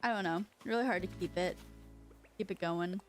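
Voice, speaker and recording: A woman's voice: fairly low pitch, normal speed, faint music behind. A young woman from China whose first language is Chinese, streaming in a rambling, casual chat full of fillers and restarts.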